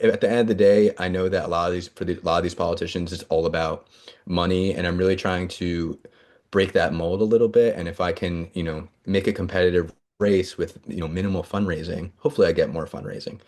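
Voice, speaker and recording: A man's voice: low voice, a little mellow. A man running for office, answering interview questions earnestly with frequent fillers and restarts. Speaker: congressional candidate.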